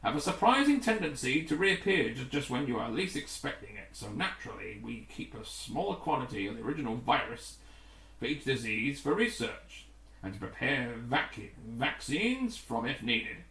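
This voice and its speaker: A man reading the part of a grim, authoritative rescue commander in a storybook, formal and deliberate. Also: medium pitch, big pitch swings, fairly bright tone, distant mic. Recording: roomy, echoing room.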